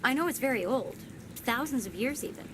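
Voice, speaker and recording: Anxious young female researcher, highish voice. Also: lively intonation, fairly quick, fairly bright tone, a little hiss or hum, poor audio. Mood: uneasy, hesitant. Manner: hesitant, earnest. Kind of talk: video game voice acting.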